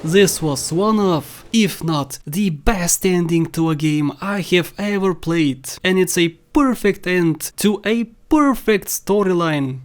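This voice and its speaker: Mid-pitched voice, countdown host, scripted game commentary, voice-over delivery. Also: lively intonation, slow, few pauses. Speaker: video host, narrator of a countdown.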